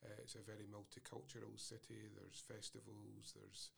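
A man's voice: on the low side, completely flat delivery, normal speed, vocal fry, fairly bright tone, breathy edge. A man interviewing a lecturer, fluent and friendly with some restarts. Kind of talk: interview about university study.

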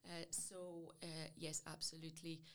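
A woman's voice: low-pitched, flat delivery, normal speed. A woman from Poland with a Polish accent, a psychology lecturer in an interview, speaking carefully with many hesitations. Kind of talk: interview about university study.

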